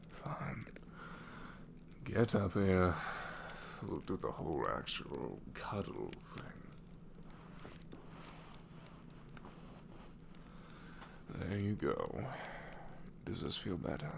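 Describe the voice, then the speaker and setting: A man's voice: low voice, very animated, slow, creaky, pauses often, noisy background, full character voice. A man voicing a sassy, married male shadow demon in an ASMR roleplay, talking sarcastically and drawling, often dropping into whispers. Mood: grumbling.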